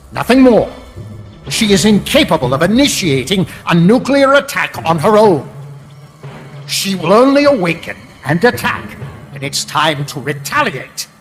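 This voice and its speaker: An actor playing a zealous, calculating middle-aged American intelligence chief, delivering grandiose, emphatic lines in a dramatic video game. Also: male voice, sits high. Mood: smug.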